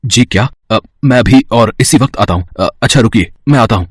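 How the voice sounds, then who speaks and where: An actor playing a dutiful young bridegroom in an audio family drama, reassuring and a little hesitant. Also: male voice, mid-range voice, fairly quick, husky, dry recording, natural voice. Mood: apologetic, reassuring. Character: earnest young bridegroom, dutiful office worker.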